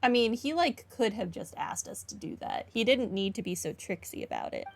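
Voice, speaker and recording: A woman's voice: medium pitch, huge pitch swings, normal speed, creaky. A woman giving casual, wry commentary on a video game playthrough, thinking aloud as she goes. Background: game music.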